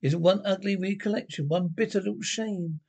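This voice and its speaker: A man playing a mocking male alter ego that speaks in a sly, drawn-out, taunting way. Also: sits high, vocal fry, a little mellow. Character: mocking male inner voice, smug and knowing.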